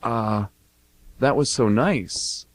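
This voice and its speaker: A man's voice: mid-range voice, drawn-out words, a little hiss or hum. A man playing a shy, awkward, stammering young man in an amateur radio comedy. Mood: awkward, pleased. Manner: awkward, stammering, eager to please. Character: shy, flustered young man in bird costume selling candy.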